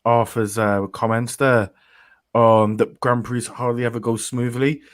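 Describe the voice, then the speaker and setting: Measured male podcast co-host. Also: mid-range voice, little pitch movement. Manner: measured, explanatory, with fillers. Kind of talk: casual chat.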